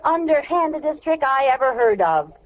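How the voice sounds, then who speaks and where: A woman's voice: high voice, big pitch swings, moderate pace. An American woman playing a brash, scheming housewife on a diet in a 1940s radio sitcom, exclamatory and wisecracking. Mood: gleeful, eager. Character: brash, scheming housewife who is dieting, comic and excitable. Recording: noisy, muffled old radio recording.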